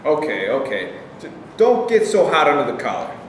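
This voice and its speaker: A man's voice: on the high side, wildly swooping pitch, moderate pace. A man playing a mild, conciliatory, easily hurt fellow at a staged play reading. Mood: placating. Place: staged reading in an echoey room, distant mic. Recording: echoey noisy room from far off.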